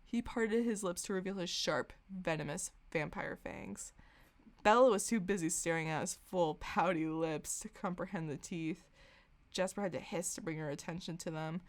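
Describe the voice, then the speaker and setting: Lower-pitched woman reading comic fiction. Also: moderate pace. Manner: mock-serious, often amused. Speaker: podcast host. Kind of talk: comedic dramatic reading of fan fiction.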